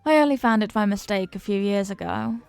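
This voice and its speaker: Female actor as confident, flirty woman charming a stranger: mid-pitched, teasing, playful, intimate roleplay delivery over faint music. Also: brisk, gravelly, a little mellow, smooth, natural voice. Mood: warm, inviting. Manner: teasing, coy, sweet-talking. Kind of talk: intimate roleplay audio acting.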